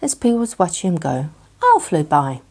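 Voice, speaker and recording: Expressive, lower-pitched woman storyteller. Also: moderate pace. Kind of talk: expressive children's bedtime story narration.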